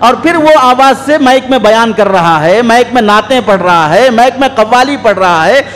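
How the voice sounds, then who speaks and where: High-pitched male preacher in an echoey hall. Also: expressive, normal speed, a bit bright. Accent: Indian. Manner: scolding, exhorting with rhetorical questions. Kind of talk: sermon. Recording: echoey hall with long reverb.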